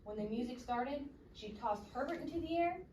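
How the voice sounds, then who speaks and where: Expressive high-voiced woman reading a storybook, echoey room. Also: moderate pace. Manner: expressive, questioning teacher-like delivery. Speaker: read-aloud storyteller. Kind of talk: interactive storybook narration to children. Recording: distant echoey room with noise.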